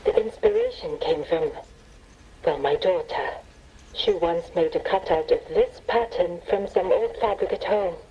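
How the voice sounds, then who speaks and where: A woman's voice: lowish voice, big pitch swings, a little slow, slightly rough, full character voice. A woman playing a fond, modest mother interviewed on the radio in a video game, heard through a radio filter. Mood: fond, reflective. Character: gentle, modest mother, fond and soft-spoken. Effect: choppy radio filter. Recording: muffled lo-fi radio with faint noise.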